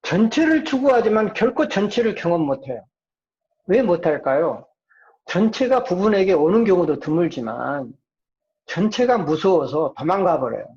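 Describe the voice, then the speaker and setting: A man's voice: high voice, very animated, normal speed, darkish tone. A man lecturing informally as a psychology professor, often asking questions and answering them himself. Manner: asks and answers own rhetorical questions. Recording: dull, muffled lo-fi lecture recording.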